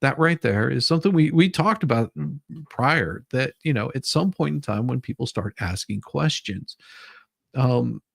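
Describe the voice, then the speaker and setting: A man's voice: medium pitch, lively intonation, moderate pace, vocal fry, no echo, studio quality. A man hosting an online show in casual chat, rambling and often stammering over repeated words.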